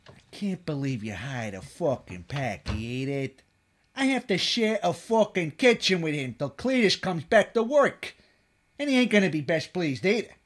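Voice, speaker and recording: A man's voice: on the high side, very animated, moderate pace. A man playing an irritable, foul-mouthed cook in an adult comedy sketch, blunt and grumbling. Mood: disgruntled.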